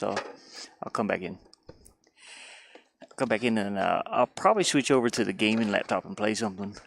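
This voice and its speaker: A man's voice: mid-range voice, gravelly, a bit bright, slight background noise. A man chatting casually on a livestream through a headset, thinking aloud in a rambling, musing way and often questioning himself. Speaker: live streamer learning game design.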